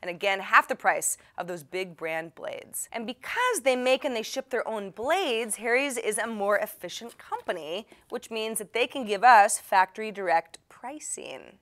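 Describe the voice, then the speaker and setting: A woman's voice: a little high, animated, moderate pace, few pauses, a bit bright. Playful, chatty woman presenting. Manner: chatty, playful, wry and self-deprecating. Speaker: host of a tech tips show. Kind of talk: presenter talk to the audience, part scripted, part casual.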